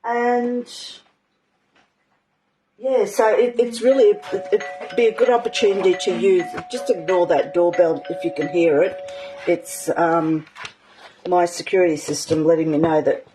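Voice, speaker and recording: A woman teaching a live art journaling session in a casual, rambling way, with many ums and a slightly croaky voice. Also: on the low side, steady tone, a little slow, music in the background, rough recording. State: croaky, clearing her throat. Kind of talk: casual live craft demonstration.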